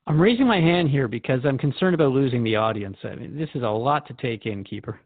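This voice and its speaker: A man's voice: mid-range voice, lively intonation, fast talker, dry recording, narrow, tinny audio. A man playing a curious, mildly skeptical radio interviewer in a scripted drama, thoughtful and conversational. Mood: curious, concerned. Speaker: radio host.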